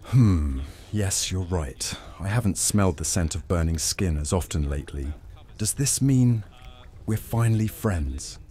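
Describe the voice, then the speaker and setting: A man's voice: low-pitched, expressive, unhurried, mellow. A man playing a sardonic, flirtatious Roman legate with a dry, teasing manner in a fantasy audio drama.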